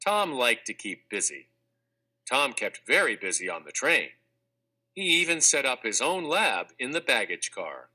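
Bright, lively male children's narrator. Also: medium pitch, a little slow, breathy edge. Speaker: audiobook narrator. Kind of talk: scripted read-aloud of a children's textbook.

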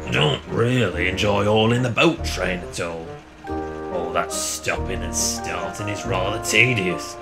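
A man plays an agreeable male engine from a children's storybook. He is easygoing and obliging, speaking in a measured way with music playing behind. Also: sits low, gravelly, voice pushed down.

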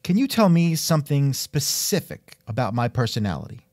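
A man's voice: mid-range voice, sing-song, brisk, darkish tone, no room sound, clean studio sound. A man hosting a scripted comedic interview, with a wry, joking manner. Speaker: podcast host and interviewer.

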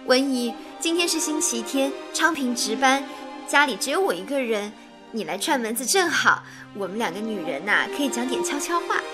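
A woman's voice: a little high, animated, moderate pace, over music, natural voice. An actress playing a chatty, teasing and devoted young woman in radio drama dialogue.

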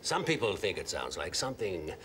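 A man's voice: deep voice, very animated, fairly quick, noisy background. An actor playing a sly, courteous male vampire dinner guest with a put-on Romanian accent in a sitcom. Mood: sly courtesy. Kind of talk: sitcom acting. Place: indoor studio set, dining room.

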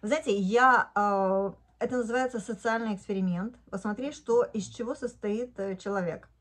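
A woman's voice: mid-range voice, normal speed. A woman in her fifties from Odesa, talking in a casual, indignant video diary at home into a phone camera, breaking into laughter. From Odesa, Ukraine. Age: 50s. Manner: chatty and indignant, bursts into laughter. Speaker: vlogger. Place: living room at home, indoors. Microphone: phone camera at arm's length.

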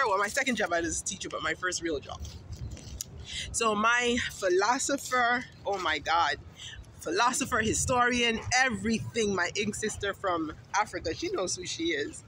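A woman's voice: medium pitch, pushed and bright. A middle-aged woman from Haiti, vlogging casually in a chatty, rambling, emphatic way while walking through a park. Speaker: vlogger and teacher. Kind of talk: casual talk to camera. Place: sunny city park outdoors. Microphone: phone held at arm's length. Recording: noisy outdoor phone recording.

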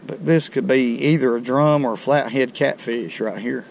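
A middle-aged man, chatting to viewers in a folksy, excited way while fishing from a riverbank, recorded on a chest-mounted camera outdoors. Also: medium pitch, moderate pace, vocal fry, a little hiss or hum. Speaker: fishing video host. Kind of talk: casual outdoor commentary. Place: outdoors on a rocky riverbank below a dam. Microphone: chest-mounted action camera.

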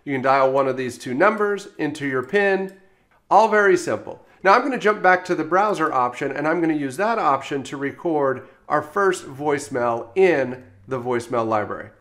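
A man working as a software trainer, narrating an instructional screencast in an explanatory, step-by-step way. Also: fairly high pitch, lively intonation, moderate pace.

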